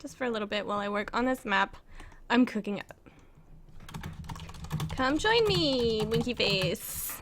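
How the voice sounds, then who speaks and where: A woman's voice: a little high, animated, a little slow, pauses often, fairly bright tone, a little hiss or hum. An American woman chatting casually to viewers on a live stream, rambling in a self-conscious, giggly way. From United States. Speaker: live streamer and game map maker. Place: indoors at a computer in an apartment.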